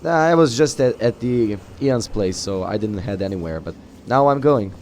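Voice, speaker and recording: Low-voiced, halting male gamer. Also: animated, a little mellow, a little hiss or hum. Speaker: gamer role-playing a game character. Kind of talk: improvised in-character game dialogue. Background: game music.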